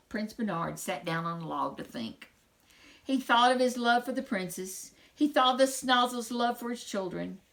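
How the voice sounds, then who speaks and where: A woman storyteller reading a children's picture book aloud with expressive, dramatic phrasing. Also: fairly high pitch, a little slow, creaky.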